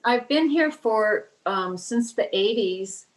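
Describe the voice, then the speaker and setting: A woman's voice: on the high side, expressive, takes it slowly, low-quality recording. A woman in her sixties from the US Midwest, a writer talking casually in an interview over a video call, chatty and laughing between thoughts. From Kansas, US Midwest. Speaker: guest, writer.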